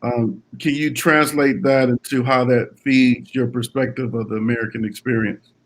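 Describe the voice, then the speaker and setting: Mid-pitched male interview host. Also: steady tone, normal speed, rough recording. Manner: thoughtful, many ums and short affirmations. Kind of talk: casual interview conversation.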